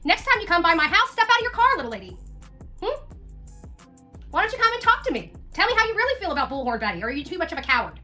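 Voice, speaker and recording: A woman's voice: very high voice, sing-song, quick pace, bright, forceful tone, soft background music. A woman livestreamer ranting confrontationally, taunting and repeating her phrases for emphasis.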